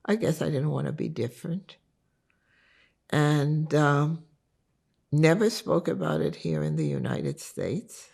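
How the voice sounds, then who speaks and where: An older woman from Lithuania whose first language is Yiddish, recalling her life story in an interview in an unhurried, reflective way. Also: sits low, sing-song, creaky, a little mellow, breathy edge. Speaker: interviewee giving testimony.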